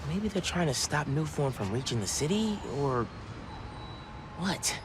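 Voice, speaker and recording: An actor playing an upbeat, quick-witted teenage boy superhero in a video game cutscene, talking casually and eagerly to himself. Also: a little high, very animated, noisy background. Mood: focused.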